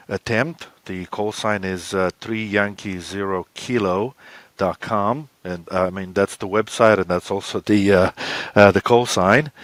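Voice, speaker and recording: A man with a very low voice explains things in an interview. His phrasing is halting and hesitant, and the sound is dry and close, with very little room. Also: a bit bright, no room sound. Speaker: expedition co-leader, interview guest.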